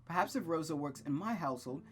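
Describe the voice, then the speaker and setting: A reader playing a genial nobleman in a visual novel. He speaks with polite, measured reassurance while guitar music plays behind him. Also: mid-range voice, lively intonation, lightly acted. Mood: kindly, reassuring. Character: genial, courteous nobleman, a kindly marquis. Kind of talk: visual novel read-aloud character voice.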